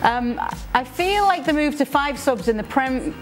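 A woman hosting a football panel show, briskly steering the discussion. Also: sits high, expressive, soft background music. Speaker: host.

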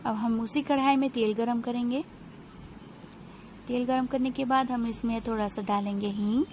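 A woman with a fairly high voice talks through a home recipe one step at a time. She explains each step plainly and patiently and often pauses with small hesitations. A faint hum of room sound runs under her voice-over. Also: steady tone, darkish tone. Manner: unhurried, explanatory, frequent hesitations. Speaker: home cook.

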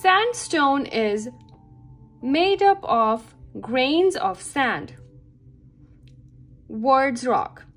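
A woman's voice: sits high, animated, very slow, pauses often, faint music behind. A woman reading a children's science book aloud with careful phrasing meant for young listeners. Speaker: read-aloud reader for children.

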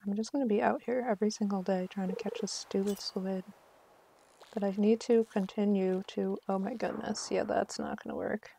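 Casting: female game streamer, mellow tone with vocal fry, chatty and mock-exasperated, thinking aloud. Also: medium pitch, steady tone, normal speed, slight background noise. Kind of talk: casual live game stream commentary.

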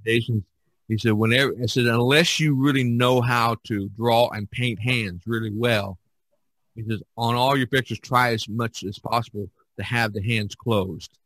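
A man hosting a casual podcast chat, speaking haltingly and often repeating his words. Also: mid-range voice, steady tone, a bit bright, poor audio. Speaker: podcast host.

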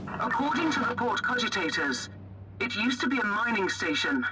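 A woman's voice: on the high side, very animated, moderate pace, noisy background. A woman playing a proud, formal noblewoman who captains a ship in a video game, composed and dutiful. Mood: formal, informative.

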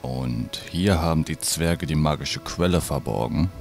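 A man playing a grim, proud, distrustful druid in a fantasy audio drama, gruff and commanding. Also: a bass voice, a little slow, a little mellow, soft background music, full character voice. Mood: commanding, intent.

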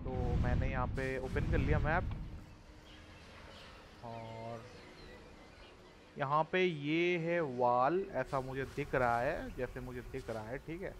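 A man's voice: mid-range voice, rapid-fire, pauses often, noisy background. A young man giving casual gameplay commentary. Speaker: gamer, walkthrough host. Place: indoor room, gaming setup. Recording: loud game sound behind the voice.

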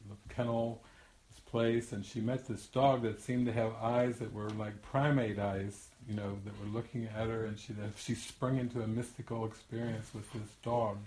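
A man's voice: mid-range voice, darkish tone, a little hiss or hum. Older man telling a story in an echoey room. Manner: rambling anecdotal storyteller, laughs often, frequent restarts. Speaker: spiritual teacher. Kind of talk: storytelling teaching talk.

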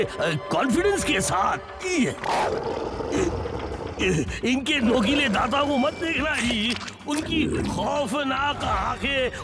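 A man's voice: very high voice, heavily acted. A man voicing a jumpy, boastful molenoid creature guide in a cartoon dub, fussy and hesitant. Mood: nervous bravado. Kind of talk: animated cartoon dub acting. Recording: loud music behind the voice.

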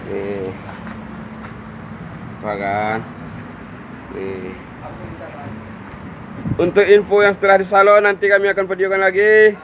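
Man, casual vlogger energy, slightly high voice, loud workshop noise behind. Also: takes it slowly, long pauses. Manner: chatty, upbeat, showing off the work. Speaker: car detailing vlogger. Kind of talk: casual on-camera narration. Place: outdoor truck workshop yard. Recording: loud engine and water-jet noise.

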